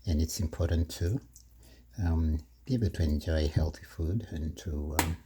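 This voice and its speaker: A middle-aged man from Kenya, chatting slowly in his home kitchen while cooking, with many fillers and soft laughs. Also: rumbling low, husky. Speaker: vlogger. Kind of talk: casual cooking vlog monologue.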